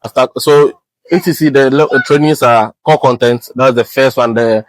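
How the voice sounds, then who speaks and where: A man from Ghana with a Ghanaian English accent, a guest describing his work as an air traffic controller in a relaxed, rambling way, full of fillers and restarts. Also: mid-range voice, moderate pace, no room sound. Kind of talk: casual podcast conversation.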